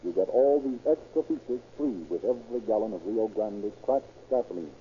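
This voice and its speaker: A man's voice: fairly low pitch, expressive, normal speed, mellow. A man announcing scripted sponsor copy in 1930s radio style. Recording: tinny, noisy vintage radio recording.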